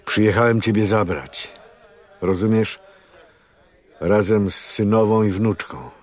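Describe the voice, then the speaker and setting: Slow, deep-voiced gruff old priest. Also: male voice. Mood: urgent, insistent. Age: older. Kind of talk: radio drama acting.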